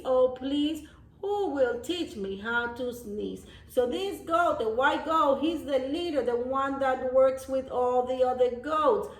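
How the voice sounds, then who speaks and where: High-voiced woman reading to children, slowly. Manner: explanatory, addressing young children. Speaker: children's storyteller, teacher. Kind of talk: picture-book read-aloud with simple explanations. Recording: echoey room.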